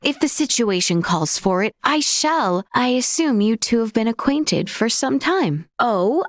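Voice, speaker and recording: A high-voiced, courteous young noblewoman. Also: big pitch swings, unhurried, no room sound, studio quality. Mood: subdued, resolute, then flustered. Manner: formal, archaic, courteous diction. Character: polite, earnest young noblewoman of a fantasy world. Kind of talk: video game character voice acting.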